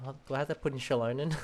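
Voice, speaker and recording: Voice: mid-range voice, a little mellow, slight background noise. A gamer making casual, off-the-cuff commentary to themself while playing a video game. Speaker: player streaming a video game playthrough. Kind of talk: casual gameplay commentary, talking to self. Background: game music.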